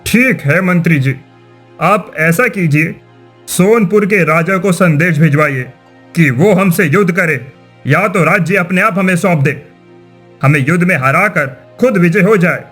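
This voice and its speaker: A man's voice: high voice, unhurried, a bit bright, slightly rough, faint music behind, heavily acted. A man voicing a wicked, power-hungry king in a cartoon, commanding and boastful. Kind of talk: dramatic cartoon dubbing.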